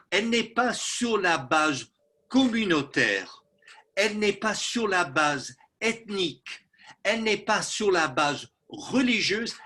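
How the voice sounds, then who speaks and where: A man's voice: sits high, bright, forceful tone. A man from Texas, a political analyst answering in a radio interview, emphatic and insistent as he drives home his points. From Texas, USA. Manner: emphatic, insistent, with slow stressed phrases.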